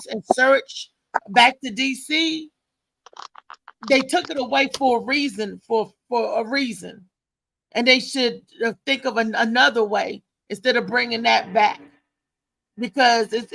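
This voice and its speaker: A woman's voice: on the high side, gravelly, a bit bright, no echo, poor audio. A woman hosting a live panel discussion, speaking emphatically and repeating her phrases. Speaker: talk show host.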